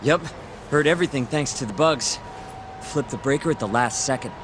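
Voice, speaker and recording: A quick-witted young lookout from a game cutscene, played by a man. His voice is medium in pitch, breezy and offhand, with clear urban noise around it. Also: close to an everyday voice. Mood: casual, alert. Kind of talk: video game cutscene acting.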